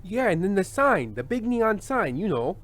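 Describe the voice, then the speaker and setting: A man playing a world-weary, fretful television host with halting delivery in an old-time radio drama. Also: high voice, big pitch swings, fairly bright tone, exaggerated voice. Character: anxious, world-weary male TV show host.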